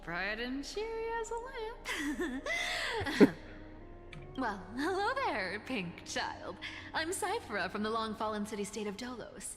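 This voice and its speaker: A woman's voice: high-pitched, wildly swooping pitch, slow pace, full character voice. A woman voicing a sly, carefree young woman in a fantasy game, with a playful mocking manner. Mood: amused, mocking. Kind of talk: voice acting for an anime-style game. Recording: reverberant game audio under clear music.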